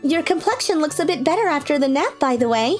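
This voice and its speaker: A woman plays a gentle, caring high school girl from a manga dub, with a high, bright voice and a chatty, kindly way of talking. Faint music sits under her lines. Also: animated, fast talker. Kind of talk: manga dub voice acting and narration.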